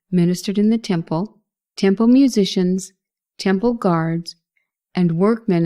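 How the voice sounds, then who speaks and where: A woman's voice: on the low side, dark tone. A woman reading a scripted narration of Bible history as a narrator.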